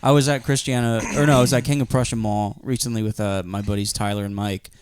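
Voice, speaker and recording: A man's voice: on the low side, flat delivery, quick pace, a little mellow, clean tone, no echo. A young adult man, co-hosting a podcast, telling a rambling, profane story and acting out the quotes. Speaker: podcast co-host. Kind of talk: casual comedic chat.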